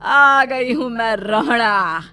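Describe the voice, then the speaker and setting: A woman's voice: on the high side, drawn-out words, bright, forceful tone, clear, resonant tone, soft background music, voice pushed up, full character voice. Woman voicing a theatrical, taunting cartoon witch. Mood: menacing, gloating. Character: vengeful banana-selling witch, 55-year-old woman, boastful and menacing. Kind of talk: children's cartoon horror story acting.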